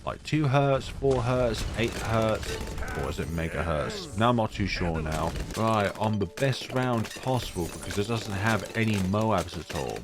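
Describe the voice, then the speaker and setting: Deep-voiced man rambling over game music. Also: lively intonation, a little slow. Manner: rambling, chatty, many fillers. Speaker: gaming YouTuber. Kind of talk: casual gameplay commentary. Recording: music playing behind voice.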